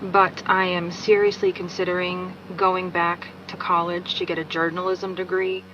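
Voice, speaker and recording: A woman's voice: lowish voice, flat delivery, normal speed, fairly bright tone, lo-fi sound, slight background noise. A woman speaking bluntly and scornfully in a social media video.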